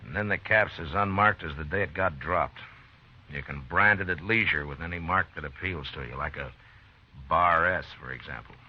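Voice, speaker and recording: A very deep-voiced, calm frontier marshal, shrewd and deliberate in his talk. He sounds grave and authoritative, in old-time Western radio style on a dull vintage recording. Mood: grave, thoughtful. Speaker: radio drama lead.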